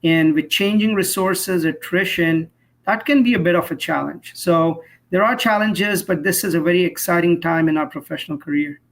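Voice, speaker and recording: Higher-voiced man explaining as interview guest. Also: moderate pace. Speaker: cybersecurity professional, interview guest.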